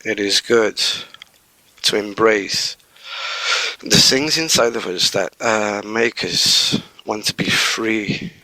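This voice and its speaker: A man, his low voice gravelly yet bright at the edges. He talks as a podcast guest, hesitant, stopping and restarting as he looks for words. The sound is close and dry. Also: takes it slowly, no room sound, rough recording. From Portugal. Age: 40s. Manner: hesitant, with many fillers and restarts. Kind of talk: casual interview talk.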